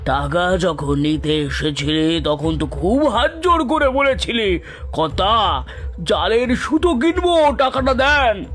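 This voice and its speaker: Cartoon villain: haughty moneylender bully, high-pitched, slow, mocking, music underneath. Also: male voice, expressive, a bit bright, exaggerated voice. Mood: mocking contempt. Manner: contemptuous, mocking, taunting. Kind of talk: dramatic cartoon story acting.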